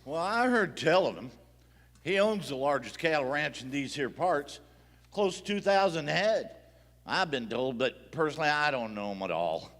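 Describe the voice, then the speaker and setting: Guy doing a high, swoopy old west country fella, folksy drawl, radio play in a big hall. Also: lively intonation, moderate pace, a bit bright. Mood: easygoing, helpful. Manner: rustic drawl. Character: folksy, plain-spoken Western man, sheriff or cowhand. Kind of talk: live Western radio play acting. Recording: reverberant theater hall.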